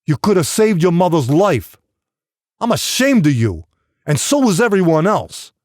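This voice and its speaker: A male audiobook reader performs a harsh father tearing into his boy. The voice climbs higher than easy talk, emphatic and full of scorn. Every phrase lands as blame, in a close, dry recording. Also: very animated, measured pace, a little mellow, dry recording. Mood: scornful anger. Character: harsh, blaming, contemptuous father berating his young son. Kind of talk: audiobook character dialogue.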